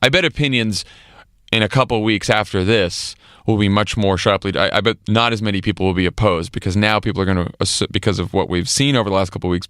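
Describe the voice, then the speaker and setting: Low, smooth-voiced American man. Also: a bit bright, no echo, studio quality. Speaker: podcast co-host. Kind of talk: casual political commentary.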